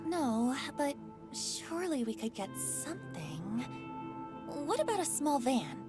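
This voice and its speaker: A woman's voice: sits high, very animated, a little slow. A woman voicing a careless young accomplice in a game who speaks in an airy, offhand way. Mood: breezy. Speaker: game character. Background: game music.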